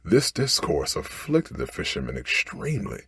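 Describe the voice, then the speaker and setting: Deep, breathy man reading bedtime stories. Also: huge pitch swings, moderate pace, fairly bright tone, dry recording, studio quality. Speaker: storyteller. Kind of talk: soft ASMR bedtime story reading.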